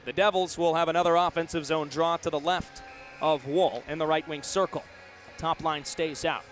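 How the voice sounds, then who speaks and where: A young man calling live sports play-by-play for radio in crisp, clipped announcer phrasing. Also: fairly high pitch, steady tone, moderate pace, soft background music. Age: young adult. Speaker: play-by-play broadcaster. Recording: live sports broadcast with venue background noise.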